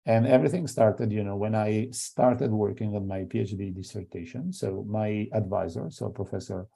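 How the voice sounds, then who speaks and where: Gravelly Italian man giving an academic talk. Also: on the low side, normal speed, dark tone. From Italy. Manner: hesitant, many fillers and restarts. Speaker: invited seminar speaker.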